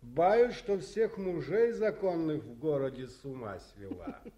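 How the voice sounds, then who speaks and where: An actor playing a curious, teasing colonel in a 1950s radio play, probing with questions. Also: male voice, fairly high pitch, lively intonation, a little slow, a crowd in the background.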